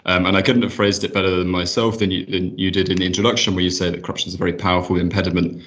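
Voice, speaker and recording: A man with a deep voice talks quickly and with very little pitch movement. He is an expert podcast guest, articulate and polite, setting out his analysis in formal language. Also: little pitch movement, fairly bright tone. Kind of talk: measured analytical discussion.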